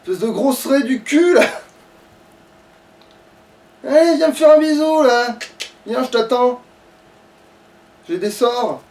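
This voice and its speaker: A man's voice: extremely high pitch, lively intonation, slow, long pauses, a little mellow, distant mic. A man giving casual, slangy gaming commentary as he plays, grumbling and swearing freely. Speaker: let's play streamer playing the game.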